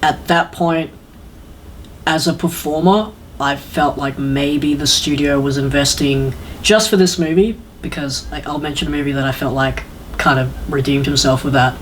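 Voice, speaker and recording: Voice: mid-range voice, fairly even intonation, normal speed. Casual podcast host over noticeable background noise. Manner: rambling, acts out imagined lines. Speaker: podcast host reviewing video game films. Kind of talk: casual opinionated panel chat.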